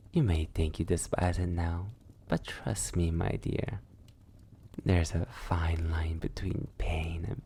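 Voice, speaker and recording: A man's voice: sits low, gravelly, dark tone, slight background noise, exaggerated voice. A man playing a sadistic, possessive, centuries-old vampire in an intimate ASMR roleplay, silky and menacing, often dropping toward a whisper. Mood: gloating, hungry.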